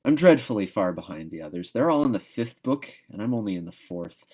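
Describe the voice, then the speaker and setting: A man's voice: fairly low pitch, very animated, brisk, darkish tone, poor audio. A man voicing a chatty, dreamy, dramatic young orphan schoolgirl from a storybook, in an earnest, gossipy ramble.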